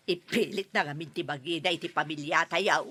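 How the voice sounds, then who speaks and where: An actress playing a scheming middle-aged village mother in a radio drama, with a husky voice and a mocking laugh. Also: sits low, normal speed, bright, forceful tone, exaggerated voice.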